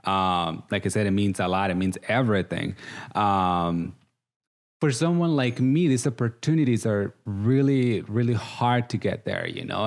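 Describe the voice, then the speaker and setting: A man's voice: fairly low pitch, darkish tone. A man from Guatemala with a Guatemalan Spanish accent, a reality show contestant talking earnestly and emotionally in a casual interview, laughing often.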